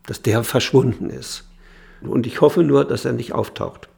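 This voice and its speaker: Man, gravelly mid-range voice, thinking aloud hesitantly as an interviewee. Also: mid-range voice, a little slow, darkish tone. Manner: hesitant, thoughtful. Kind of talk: spontaneous interview speech.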